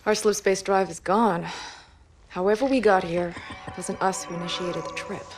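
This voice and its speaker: A woman playing an eager, analytical scientist aboard a starship in a video game, speaking briskly. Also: mid-range voice, steady tone, over music, lightly acted. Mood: puzzled, intent. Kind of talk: cinematic video game acting.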